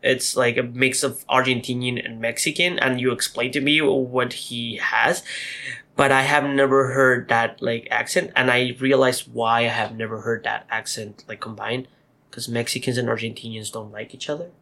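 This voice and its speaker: Gravelly bright guy on a podcast, rambly, lots of "like", teasing his cohost. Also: mid-range voice, flat delivery, normal speed. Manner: rambling, filler-heavy, playful teasing. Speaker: podcast co-host. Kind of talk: casual chat.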